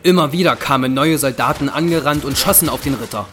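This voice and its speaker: A man's voice: mid-range voice, fast talker, a little hiss or hum. A man reading scripted narration for an audio drama, telling the story in the past tense. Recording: loud music under the voice. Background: loud dramatic music.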